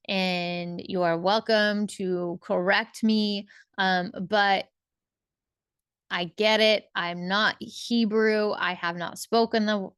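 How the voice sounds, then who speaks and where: A woman with a voice of ordinary middle pitch teaches scripture at a slow, unhurried pace. She thinks aloud as she goes, restarting phrases and listing meanings one word at a time. The recording is dry and close, with no room echo. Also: a bit bright, clean tone. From English-speaking. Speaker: Bible teacher. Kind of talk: relaxed Bible teaching monologue.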